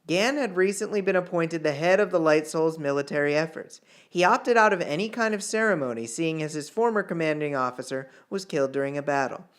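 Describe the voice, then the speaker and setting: A man's voice: fairly high pitch, brisk, hardly any pauses. A man narrating fiction in an audiobook style, reading aloud with the odd small stumble. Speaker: author reading own novel chapter.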